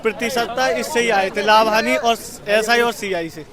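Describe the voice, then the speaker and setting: A man's voice: high-pitched, steady tone, moderate pace, a crowd in the background. A young man giving casual answers in a street interview. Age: young adult. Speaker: exam candidate being interviewed. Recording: crowded outdoor street recording.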